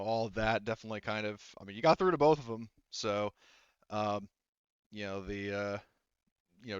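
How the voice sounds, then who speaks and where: A male game master with a lowish, smooth voice improvises narration for a tabletop game. He talks haltingly and conversationally. The recording is dry and slightly dull. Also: a bit bright, no room sound.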